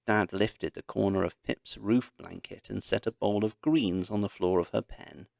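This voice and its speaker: A man narrating a children's story aloud in an expressive, dramatic storytelling manner. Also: lowish voice, moderate pace, creaky, no room sound, studio quality. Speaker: audiobook narrator.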